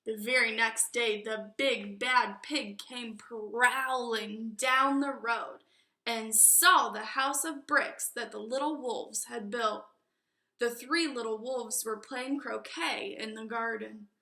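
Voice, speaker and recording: A woman with a high, bright voice narrates a children's storybook in an echoey room. Her reading is expressive and deliberate, leaning on key words. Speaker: storybook reader.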